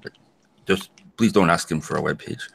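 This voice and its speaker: A man's voice: low-pitched, normal speed, vocal fry, a little hiss or hum. An older man, a host of a weekly video hangout, chatting in a joking, playful way. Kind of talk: casual video call chat.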